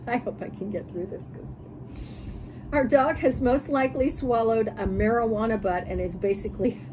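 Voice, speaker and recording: An American woman in her sixties chatting casually to camera and reading out comments in an expressive, giggly manner. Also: mid-range voice, noisy background. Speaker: sewing vlog host. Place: bright curtained porch or sunroom.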